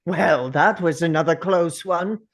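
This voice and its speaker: A woman's voice: lowish voice, measured pace. A woman playing a wry, thoughtful, eccentric time traveller in a drama table read, musing aloud. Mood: breezy musing.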